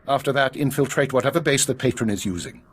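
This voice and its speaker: An actor playing a guarded intelligence chief in a video game, measured, formal and authoritative. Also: male voice, mid-range voice, breathy edge, lightly acted. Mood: commanding. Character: grave, guarded male intelligence spymaster. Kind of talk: video game drama acting.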